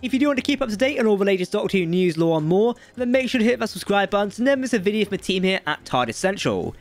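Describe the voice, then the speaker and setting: A man's voice: sits high, very animated, racing. A man reading a scripted explainer as a video presenter, with sing-song phrasing that drops at the ends of sentences. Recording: dry voice-over with music underneath. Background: background music.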